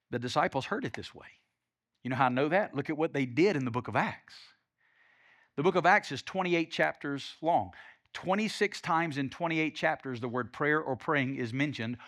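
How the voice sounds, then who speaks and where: A Southern pastor preaches, his voice pitched high for a man and bright in tone. He moves between emphatic peaks and quiet, conversational asides. The sound is dry and close. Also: dry recording. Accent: Southern US (Alabama). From Alabama, US. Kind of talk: sermon.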